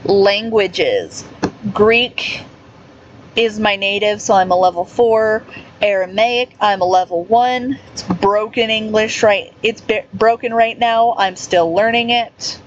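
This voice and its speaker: A woman talks through a game at a slow, unhurried pace, reading the menus aloud and stopping to restart her sentences. The recording sounds a little dull, with a faint hum underneath. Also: mid-range voice, fairly even intonation. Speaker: gaming YouTuber. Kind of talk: casual gameplay commentary.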